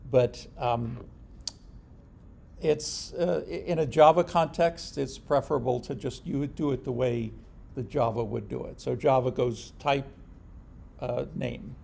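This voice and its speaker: A man gives an informal programming lecture, stopping and restarting his sentences as he goes. His voice is deeply gravelly and dark in tone. A faint hum of noise sits behind him. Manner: halting, restarts often, dry humor. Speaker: programming instructor.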